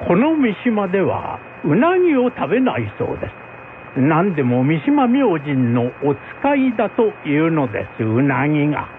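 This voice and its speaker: A man's voice: on the high side, wildly swooping pitch, moderate pace. A man voicing a respectful, well-informed samurai retainer who explains in formal old-fashioned speech in a folk tale drama. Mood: deferential. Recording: phone-like band with faint noise.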